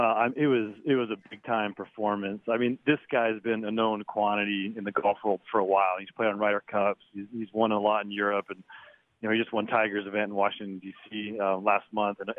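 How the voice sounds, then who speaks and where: A man calling in as a golf writer guest. He talks in a chatty, halting way, restarts words often and chuckles now and then. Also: lowish voice, little pitch movement, no room sound. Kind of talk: phone interview. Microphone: phone. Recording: tinny dry phone line.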